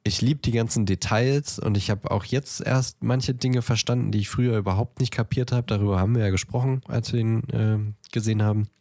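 A man's voice: lowish voice, steady tone, brisk, few pauses, a little mellow, no room sound, studio quality. A man co-hosting a podcast, chatting casually about films and often searching for words. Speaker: podcast co-host.

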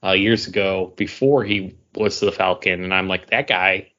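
Casting: male podcast co-host, lowish voice, informal film and TV talk, slightly dull recording. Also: fairly even intonation, normal speed, bright, forceful tone. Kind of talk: casual movie and TV review chat. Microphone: internet voice call. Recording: muffled, dull voice-call audio.

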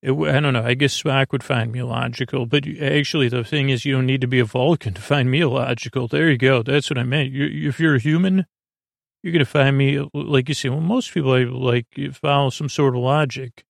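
A man with a medium-pitched voice full of creak delivers a sleep podcast monologue. He meanders and stumbles, often restarting and losing his thread. The sound is very dry, with no room echo. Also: fairly even intonation. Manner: meandering, halting, full of digressions and false starts. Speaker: podcast host.

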